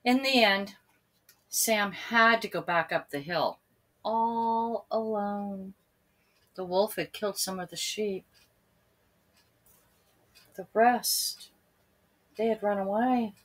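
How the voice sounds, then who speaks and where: A woman reading a children's story aloud expressively, pausing now and then to address young listeners. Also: mid-range voice. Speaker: storybook reader.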